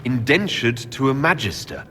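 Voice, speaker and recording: Fantasy-game protagonist, measured, direct, composed, animated melody, slightly noisy capture. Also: male voice, mid-range voice, big-room sound. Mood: businesslike. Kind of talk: video game dialogue acting.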